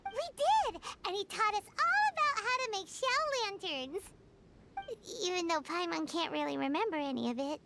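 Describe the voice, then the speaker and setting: A woman voicing a tiny, eager, childlike fairy companion in a video game, squeaky and excitable. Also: normal speed, gravelly, heavy background noise, heavily acted. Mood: cheerful. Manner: excitable, refers to self in third person. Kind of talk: animated video game dub acting. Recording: music behind the voice.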